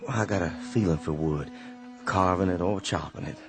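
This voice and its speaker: An actor playing a gentle, weary man back from war, talking softly in plain folksy words. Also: deep voice, moderate pace, music in the background. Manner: soft-spoken, plain folksy talk. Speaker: actor in a radio drama. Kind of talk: radio drama acting.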